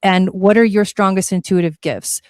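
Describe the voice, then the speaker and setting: A woman's voice: medium pitch, fairly even intonation, moderate pace, no room sound, studio quality. A woman from Argentina who grew up in California, speaking as a guest teacher, explaining things in a chatty, casual way and often rephrasing. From born in Argentina, grew up in California. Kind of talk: casual explanatory interview talk.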